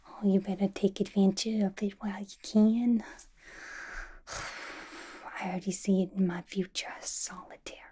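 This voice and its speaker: A woman voicing a playful, unhinged young villain inmate in a chummy, slangy ASMR roleplay, mostly in a breathy whisper. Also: mid-range voice, fairly even intonation, a little slow, long pauses, warm tone. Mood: smug, chummy.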